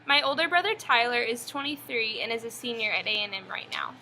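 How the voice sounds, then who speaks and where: A young woman from Texas reading her school family-history introduction in an even, scripted recitation. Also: on the high side, fairly quick, a little hiss or hum, low-quality recording. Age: young adult. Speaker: student presenter.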